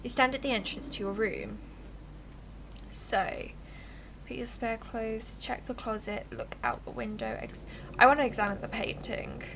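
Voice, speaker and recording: A woman livestreamer giving casual, expressive, giggly game commentary and reading the game's text aloud. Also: fairly high pitch, measured pace, vocal fry, background noise. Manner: giggly, expressive, reacts out loud.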